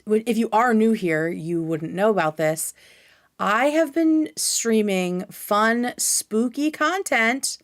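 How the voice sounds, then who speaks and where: A woman chatting casually as a livestream host, with an informal, scattered manner and free swearing. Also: medium pitch.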